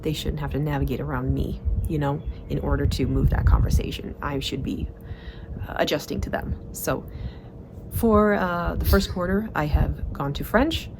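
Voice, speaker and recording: Low gravelly woman vlogging. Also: background noise. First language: Swedish. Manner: chatty, frequent fillers and restarts. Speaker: productivity vlogger. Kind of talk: casual monthly report to camera.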